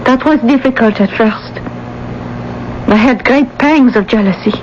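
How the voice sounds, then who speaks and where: A woman playing an anguished, lovelorn young heroine in a radio drama, with a dramatic, halting delivery. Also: fairly high pitch, close to an everyday voice. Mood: wistful. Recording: muffled, faintly noisy radio recording.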